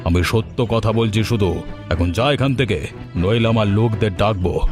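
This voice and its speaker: A man's voice: on the low side, moderate pace, over music, lightly acted. A man voicing a greedy, cruel village headman in a cartoon, harsh and scornful. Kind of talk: cartoon voice acting.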